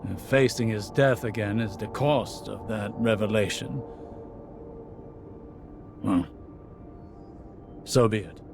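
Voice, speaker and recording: A man's voice: fairly low pitch, steady tone, slow, creaky, long pauses, music in the background. A man voicing a proud, protective and troubled scholar-warrior in a video game, earnest and formal in his weighty delivery. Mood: resigned, formal. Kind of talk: video game character acting.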